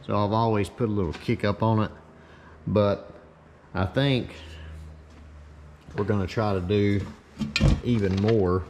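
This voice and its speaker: A man with a fairly low voice hosts a casual shop video. He explains things in plain, folksy words, like a car builder thinking aloud. A steady hum of noise sits clearly behind him. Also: measured pace, pauses often. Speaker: host, race car builder.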